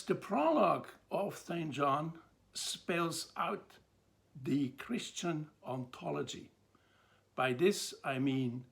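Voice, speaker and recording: An older man from Switzerland with a Swiss accent, giving a measured talk to camera and pausing between phrases. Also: fairly high pitch, gravelly, a bit bright. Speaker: theologian presenting a talk. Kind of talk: slow, deliberate lecture to camera.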